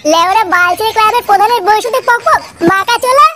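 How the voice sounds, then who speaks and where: A man voicing a foul-mouthed, hot-tempered drunken village husband in a comic cartoon, with a pitched-up voice and crude, blustering delivery. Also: fast. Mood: outraged. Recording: very noisy cartoon soundtrack.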